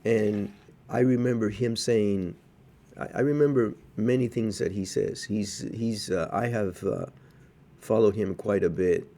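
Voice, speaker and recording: A man from Cuba speaks as a podcast co-host in a low, mellow voice. He talks in a measured, cautionary way and often pauses or restarts mid-thought. His tone is dark and relaxed. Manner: measured, cautionary, with frequent hesitations. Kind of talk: casual podcast chat.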